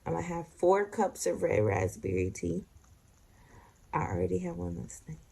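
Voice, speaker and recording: An expectant mother chatting casually at home in a home vlog, leaning on "like" as she talks, sometimes speaking softly to her unborn baby. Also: on the low side, big pitch swings, normal speed, creaky, breathy edge. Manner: chatty, leans on "like" a lot.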